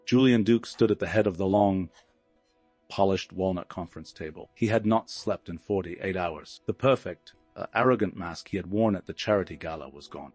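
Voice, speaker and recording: A man's voice: fairly low pitch, darkish tone, no echo. A man reading a dramatic story aloud as a scripted audiobook narrator.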